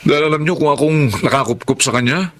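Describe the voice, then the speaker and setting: A man's voice: fairly high pitch, fast, fairly bright tone, lightly acted. A man playing a blunt, scornful grown son and uncle in a Filipino radio drama, emphatic, with a Filipino accent. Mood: dismissive. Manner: dismissive, emphatic.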